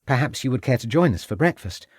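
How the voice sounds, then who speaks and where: A man voicing an affectionate, eager young Victorian wife who pleads and gushes in a lifted, lively voice. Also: medium pitch, fast, slightly rough, dry recording, studio quality. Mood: polite. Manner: gushing, pleading.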